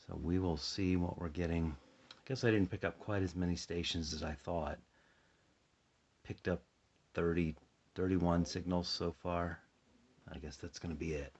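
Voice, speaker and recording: A slightly muffled home recording of an American man with a very deep voice. He sounds a bit sleepy and husky, talking in a casual, unhurried way as he thinks aloud through a demonstration. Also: fairly even intonation, a little mellow. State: a little sleepy. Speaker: hobbyist demonstrating a shortwave radio. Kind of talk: casual hands-on demonstration chat. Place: indoors at a tabletop, close to the camera.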